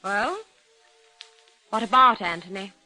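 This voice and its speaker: A woman's voice: mid-range voice, expressive, takes it slowly, sharp tone, faint music behind, exaggerated voice, rough recording. An actress playing a haughty, irritated young society woman in an old radio drama, curt and imperious in manner. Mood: cool, curt.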